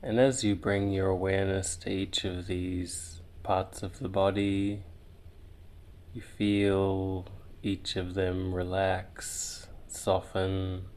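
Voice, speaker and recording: A man leading a guided visualization in a soothing, meditative way, leaving long pauses between short phrases. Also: deep voice, level tone, a little mellow.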